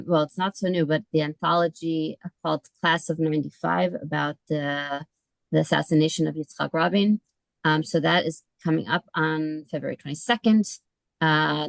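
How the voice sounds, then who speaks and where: A low, gravelly-edged woman's voice hosts a book event over a video call. She is chatty and loose, with plenty of fillers and easy laughs. The sound is dry and close. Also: dry recording, poor audio. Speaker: event host and moderator. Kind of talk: casual moderating on a video call.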